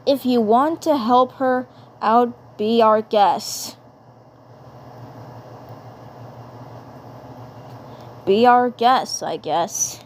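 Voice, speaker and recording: A high-pitched, tough little cartoon girl , acted by a woman, brusque and impatient. She talks dismissively, with clear noise behind the amateur dub. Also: takes it slowly. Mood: grudging. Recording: noticeable background noise.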